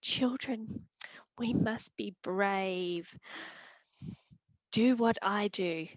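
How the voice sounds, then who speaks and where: A woman voicing a brave mother mouse in a told children's story, barking loudly to scare a cat. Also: extremely slow, no room sound, dull audio. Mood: firm. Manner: emphatic, bursts into loud high barking.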